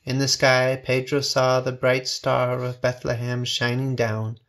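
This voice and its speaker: A man narrating a children's storybook with expressive, measured phrasing. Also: medium pitch. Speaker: storybook reader.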